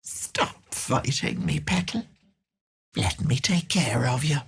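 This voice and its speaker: A wicked old hag from a video game, low and husky. She plays the sweet, folksy auntie with cloying endearments, then swoops into gloating, theatrical menace at an unhurried pace. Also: lively intonation, heavily acted. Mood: menacing. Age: older. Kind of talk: video game character acting.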